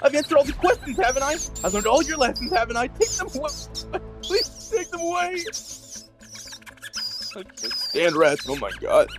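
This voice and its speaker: A man's voice: extremely high pitch, big pitch swings, normal speed, music in the background. A man playing a broken, terrified political prisoner under torture in a radio drama, anguished and repeating himself. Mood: panicked pleading. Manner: anguished, pleading, repetitive.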